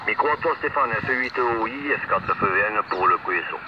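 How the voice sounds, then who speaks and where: A man making a casual amateur radio contact, speaking in halting phrases over a radio transmission. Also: lowish voice, expressive. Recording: muffled shortwave radio with static. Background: radio noise.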